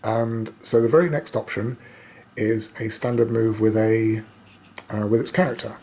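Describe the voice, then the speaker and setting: A man with a slightly croaky voice gives casual play-by-play of a solo board game, thinking aloud with hesitant pauses. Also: lowish voice, fairly even intonation, mellow. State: croaky voice, coughing now and then. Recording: noticeable background noise.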